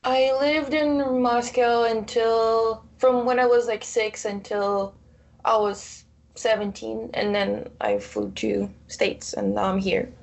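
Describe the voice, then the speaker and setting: A woman's voice: on the high side, slow, vocal fry. Playful young woman. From Ukraine. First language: Russian. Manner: playful, laughs often, searches for words. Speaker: podcast guest. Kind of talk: casual chat.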